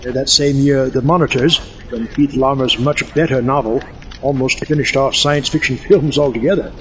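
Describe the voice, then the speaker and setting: A man's voice: medium pitch, fairly even intonation, moderate pace, lo-fi sound, a little hiss or hum, close to an everyday voice. A man playing a theatrical mad-scientist doctor, pompous and wry, lecturing wordily in a comic audio drama. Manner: pompous, wry, wordy, mock-erudite. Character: theatrical mad-scientist doctor, fussy and haughty film buff.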